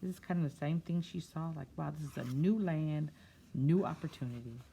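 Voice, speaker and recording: A woman's voice: low-pitched, fairly quick, gravelly, mellow, a little hiss or hum. A woman reading a picture book aloud to children, drawing out phrases expressively and breaking off into simple, casual explanations. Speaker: children's storyteller.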